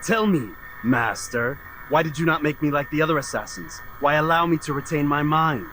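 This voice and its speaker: Terse assassin over clear noise. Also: male voice, fairly high pitch, normal speed, fairly bright tone. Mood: questioning. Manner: terse, earnest. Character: defiant, principled male assassin, betrayed former student. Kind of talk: dramatic video game cutscene acting. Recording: noisy game cutscene audio.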